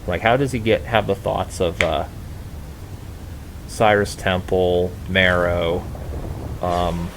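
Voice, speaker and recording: A man's voice: low voice, fairly even intonation, slow, pauses often. A man giving casual, rambling let's play commentary, hesitant and casually profane. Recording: noisy home gameplay recording.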